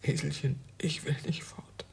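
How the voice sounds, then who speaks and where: A man's voice: a little slow, whispered, close to an everyday voice. An actor playing a melancholy, questioning male wanderer in a radio drama, speaking in brooding, poetic phrases. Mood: wistful, questioning. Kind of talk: German radio drama acting. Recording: somewhat distant from microphone.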